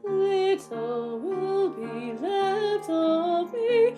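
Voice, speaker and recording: A woman's voice: extremely high pitch, lively intonation, extremely slow, over music. A woman singing light opera to a musical accompaniment.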